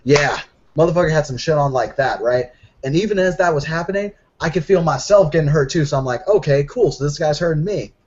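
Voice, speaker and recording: A man casually recounting an in-character roleplaying story with slangy, sweary, animated delivery. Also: fairly high pitch, a little fast, a little hiss or hum. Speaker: tabletop roleplay player. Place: online group voice call. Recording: dull lo-fi voice call.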